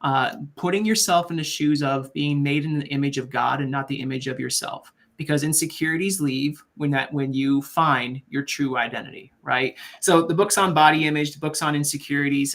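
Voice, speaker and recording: A man's voice: medium pitch, fairly even intonation, fairly quick, gravelly, few pauses, fairly bright tone. A man speaking as an interview guest, upbeat and faith-driven, often checking in with the listener. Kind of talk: motivational interview answers.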